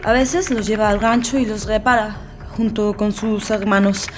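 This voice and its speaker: A woman's voice: mid-range voice, steady tone, moderate pace, faint music behind, natural voice. An actress playing a frightened, defensive woman under interrogation in an audio docudrama, hesitant and stammering. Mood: nervous, hesitant. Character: frightened young Frenchwoman under police interrogation, pleading, defensive.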